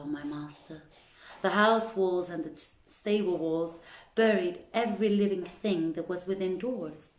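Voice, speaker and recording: Woman reading as slow, lamenting manservant. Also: fairly low pitch, darkish tone, echoey room, close to an everyday voice, low-quality recording. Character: male servant, theatrically wailing, reporting disaster.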